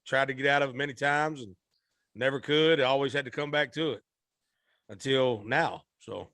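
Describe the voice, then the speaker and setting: A man in his thirties from Arkansas, a guest singer telling his story in a relaxed, rambling, folksy way, often correcting himself. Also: medium pitch, moderate pace, no echo.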